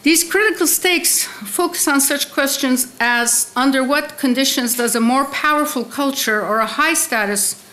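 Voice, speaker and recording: High-voiced woman giving an academic lecture. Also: measured pace, pushed and bright. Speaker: keynote speaker, literary scholar. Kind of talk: academic conference lecture, mostly read from prepared text. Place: indoor conference hall, seated at a desk with laptop. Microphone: desk microphone on a stand.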